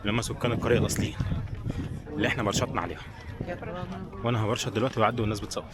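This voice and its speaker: A man's voice: on the low side, fairly even intonation, very fast, heavy background noise. A man with an Egyptian Arabic accent chatting casually as a travel vlogger, giving viewers an informal running commentary as he walks around. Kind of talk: casual walking-tour chat. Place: walking around a tourist village and its shops. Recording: busy crowd and street bustle behind.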